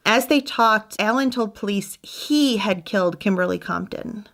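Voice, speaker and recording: A woman's voice: mid-range voice, unhurried, gravelly, fairly bright tone. A woman hosting a true crime channel, narrating in a casual, conversational way with personal asides.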